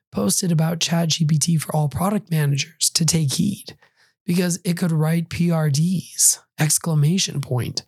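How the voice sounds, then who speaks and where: A middle-aged man hosting a solo podcast, hoarse as he recovers from a cold and flu, talking in a conversational way with wry asides. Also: a little high, dark tone, no echo. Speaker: podcast host.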